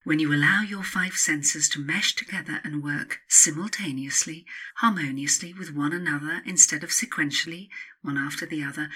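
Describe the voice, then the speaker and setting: A woman narrates her own self-help audiobook slowly, with a breathy, husky edge and a bright, forward tone. She is measured and instructive, setting off key phrases with emphatic pauses. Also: sits low. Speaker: author reading own book.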